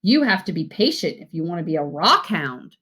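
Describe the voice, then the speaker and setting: A woman's voice: on the low side, very animated, a little fast, bright, forceful tone, breathy edge, exaggerated voice. A woman voicing a patient, kindly father who explains things in a children's story. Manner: patient, instructive.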